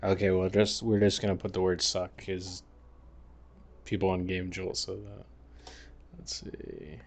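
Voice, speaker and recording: Male streamer, slightly low voice, very unhurried, slangy laid-back gamer chat. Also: little pitch movement, creaky, a little hiss or hum. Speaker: livestream host. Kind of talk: casual livestream chat while gaming.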